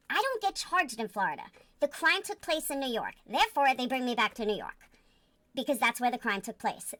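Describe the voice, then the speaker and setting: A woman with a high-pitched voice talks in a close, dry sound. She is blunt and sure of herself, laying out her opinions with firm emphasis in the casual way of a livestream commentator. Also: bright, forceful tone, no echo. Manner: blunt, emphatic, self-assured. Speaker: online commentator.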